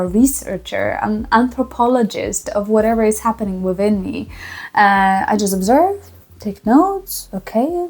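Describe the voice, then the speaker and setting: A woman with a Polish accent giving a reflective personal testimonial to camera, speaking earnestly with thoughtful, halting pauses. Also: on the high side, mellow. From small town in Poland. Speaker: vlogger.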